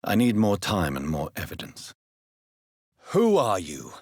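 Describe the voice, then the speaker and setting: An actor playing a grave, courteous British gentleman doctor turned vampire in a video game, speaking formally with measured, earnest intensity. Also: deep voice, wildly swooping pitch, breathy edge, no echo, clean studio sound, heavily acted. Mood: questioning. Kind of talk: dramatic video game dialogue.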